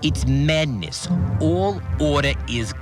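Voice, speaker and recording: An actor playing an obsessive, resentful cleaner confiding in a dramatic audio diary, agitated and brooding. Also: male voice, a little high, expressive, slow, over loud music. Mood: agitated disbelief. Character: obsessive, unhinged male cleaner, seething with murderous resentment. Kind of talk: dramatic first-person audio diary monologue.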